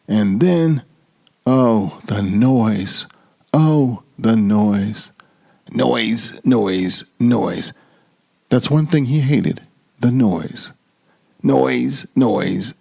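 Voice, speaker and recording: A man reading a children's storybook dramatically, with theatrical, drawn-out emphasis. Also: mid-range voice, gravelly, dark tone, slightly rough.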